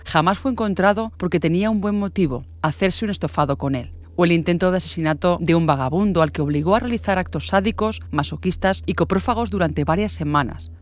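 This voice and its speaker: A woman from reads a scripted, dramatic true-crime narration. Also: on the low side. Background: music.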